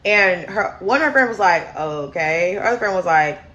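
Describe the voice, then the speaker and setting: A deep-voiced woman, candidly telling viewers a personal story in a casual storytime vlog. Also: animated, normal speed, fairly bright tone, highs cut off. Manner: candid, chatty, addresses viewers as y'all. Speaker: storyteller host.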